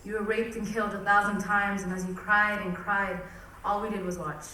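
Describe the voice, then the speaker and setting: A woman's voice: medium pitch, flat delivery, fairly quick, pushed and bright. Young woman reciting poetry in an echoey hall. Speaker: poet performing at a cultural event. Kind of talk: spoken word poetry recitation. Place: large event hall. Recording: echoey hall from far off, faint noise.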